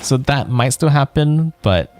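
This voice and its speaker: A man's voice: mid-range voice, animated, a little slow, a little mellow, soft background music. A man livestreaming a video game, chatting casually and thinking aloud in a rambling way. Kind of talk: casual chat while playing a game.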